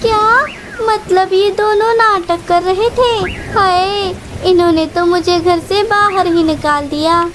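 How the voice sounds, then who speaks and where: A fretful old aunt bird from a children's cartoon, played by a woman, excitable and given to lamenting. The voice is very high-pitched and slow in pace. There is clear noise behind it. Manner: alarmed, lamenting. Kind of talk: Hindi children's cartoon dialogue.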